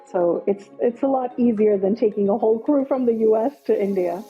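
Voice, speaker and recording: A woman's voice: mid-range voice, brisk, narrow, tinny audio, faint music behind. A woman film director from Nepal, speaking as an interview guest in an upbeat, enthusiastic way with many fillers. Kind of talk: casual interview.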